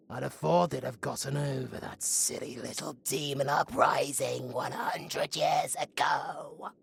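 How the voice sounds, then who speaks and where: A British undead necromancer, wicked and flirtatious, in an intimate audio roleplay. The voice is thick with gravelly creak and swoops wildly from breathy, taunting whispers to theatrical shouts. It takes its time, drawing words out very slowly over faint music. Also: male voice, medium pitch, full character voice. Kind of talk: ASMR audio roleplay acting.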